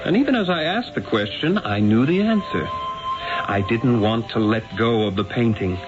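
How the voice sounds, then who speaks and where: An actor playing an obsessed, rapturous Renaissance master painter in a radio drama, with a theatrical, musing delivery on a muffled old radio recording. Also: male voice, medium pitch, animated, normal speed, over music, lightly acted. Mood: musing, enthralled. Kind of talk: radio drama acting and reflective first-person narration.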